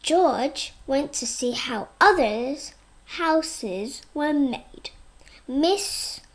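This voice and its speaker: A young child reads a storybook aloud at a very slow, careful pace. The voice is high and lively, with plenty of rise and fall in the expressive delivery. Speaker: reader.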